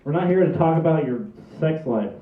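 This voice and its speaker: A man's voice: fairly high pitch, lively intonation, fairly quick, a crowd in the background, natural voice. A man playing a weary, exasperated family man in a comedy table read, dry and reluctant. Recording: muffled phone-like sound, echoey distant room.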